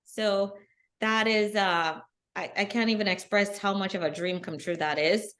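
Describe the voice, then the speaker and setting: A middle-aged woman, a guest on an interview podcast, telling her story in an earnest, animated way. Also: medium pitch, a little slow. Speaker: guest, bikini athlete. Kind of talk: casual interview.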